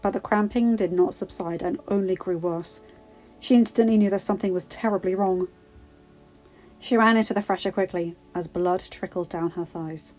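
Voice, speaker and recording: A woman narrates a story in an expressive, scripted reading style. Her voice sits at a medium pitch, and the home recording is slightly dull, lacking some top end. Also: normal speed, soft background music. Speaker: podfic narrator.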